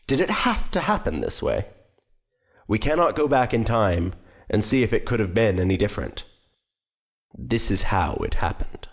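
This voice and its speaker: A man's voice: lowish voice, big pitch swings, normal speed, creaky, full character voice. A man playing a grave, theatrical narrator in an audio drama. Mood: portentous. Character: grave, theatrical narrator of a fateful true story.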